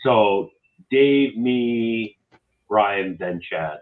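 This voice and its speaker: A man in his fifties with a lowish voice that rises and falls a lot. He comes through a tinny, phone-like call line and chats with plenty of questions. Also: expressive, extremely slow. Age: 50s. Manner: chatty, asks questions. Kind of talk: casual chat.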